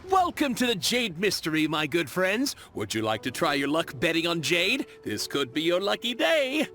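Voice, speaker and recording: An actor playing an eager, flattering merchant in a video game, with ingratiating, theatrical sales patter. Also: male voice, on the high side, moderate pace, slight background noise, heavily acted. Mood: inviting. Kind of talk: English-dubbed video game acting.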